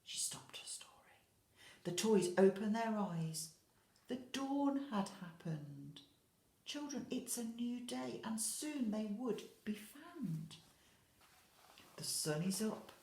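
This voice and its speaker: A woman reads a story to young children in the manner of an infant teacher. Her delivery is unhurried and very expressive, with big swings in pitch. She speaks straight to the children with questions and asides, and the room adds a noticeable echo. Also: creaky. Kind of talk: animated picture-book storytelling to young children. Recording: roomy, echoey indoor sound.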